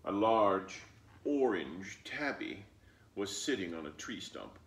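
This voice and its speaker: A man reading a storybook aloud to children in an animated, expressive way. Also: lowish voice, slow pace, a little hiss or hum. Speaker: storybook reader. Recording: roomy, echoing, distant microphone.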